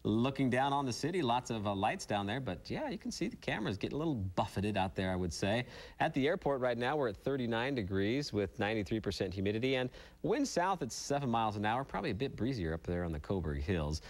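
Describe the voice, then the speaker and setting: A man's voice: mid-range voice, very animated, fast. A man with an American accent anchoring a TV morning newscast, reading news and chatting informally.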